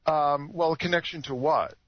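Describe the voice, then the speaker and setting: A man in an unscripted radio discussion, explaining things in a measured, hesitant way. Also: on the high side, no room sound. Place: internet talk radio show with caller. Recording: dull lo-fi internet radio call audio.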